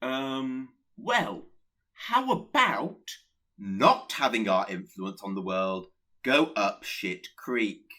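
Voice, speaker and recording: A man's voice: lowish voice, very animated, slow pace, sharp tone, exaggerated voice. A man playing an anxious, pleading personification of plague in a radio play, hesitant and stammering. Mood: worried, pleading.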